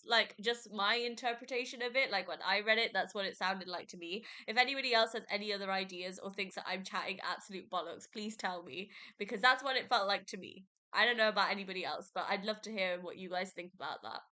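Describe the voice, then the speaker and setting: A woman's voice: medium pitch, bright, forceful tone. A young woman, congested from a cold, chatting casually as host of her own book podcast. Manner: chatty, rambling, with fillers and self-corrections.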